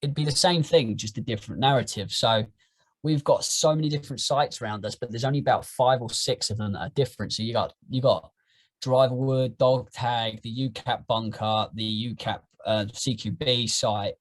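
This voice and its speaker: A young man with an English accent, chatting casually as a podcast guest, rambling and animated. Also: medium pitch, normal speed. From England. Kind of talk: casual chat.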